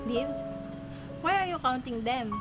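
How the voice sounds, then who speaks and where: A worried young woman artist, acted by a woman looks after her friend in a storybook drama. Her voice sits fairly high, with music playing loudly beneath it. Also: animated, unhurried. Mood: worried, caring. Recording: loud music under the voice.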